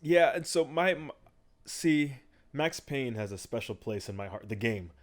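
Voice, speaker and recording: A man co-hosting a casual podcast conversation, keeping the talk moving with animated asides. His voice sits at an ordinary middle pitch for a man. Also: unhurried. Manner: animated, steering the discussion. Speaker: podcast co-host. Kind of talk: casual chat.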